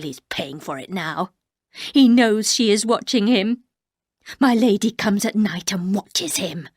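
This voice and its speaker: A woman's voice: dry recording, studio quality. A woman doing a menacing, bitter housekeeper. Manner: slow, low, cold, often whispered.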